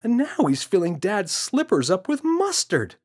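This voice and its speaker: A man's voice: high voice, huge pitch swings, normal speed, a little mellow, breathy edge, voice pushed up, full character voice. A man voicing an indignant, exasperated young boy in a children's story, pleading and insistent.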